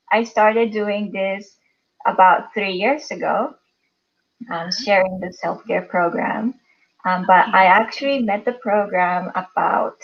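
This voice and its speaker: Slow-speaking woman from Japan, often laughing. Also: mid-range voice, highs cut off. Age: 30s. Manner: warm, laughs often between phrases. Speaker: guest. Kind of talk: interview answers.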